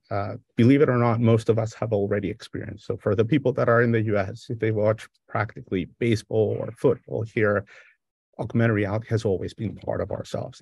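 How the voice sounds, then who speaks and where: Greek-accented man, gravelly low voice. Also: no room sound. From Greece. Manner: relaxed, conversational, with frequent fillers. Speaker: lecturer. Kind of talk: remote academic lecture. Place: home or office on a video call. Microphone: video call microphone.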